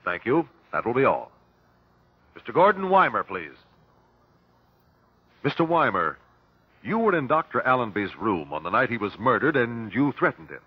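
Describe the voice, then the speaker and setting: An actor playing a persistent examiner at an inquest, asking formal, clipped questions in an old radio drama. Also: male voice, medium pitch, very animated, moderate pace, long pauses, slightly rough, no echo. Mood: pressing, formal. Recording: dull, dry old radio recording.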